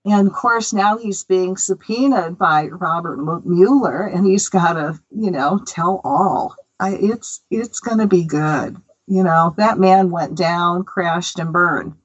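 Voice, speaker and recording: A woman's voice: lowish voice, slow pace, few pauses, lo-fi sound. An American woman hosting a spiritual radio show, talking in a chatty, opinionated way with many fillers. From United States. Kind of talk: casual spiritual radio talk.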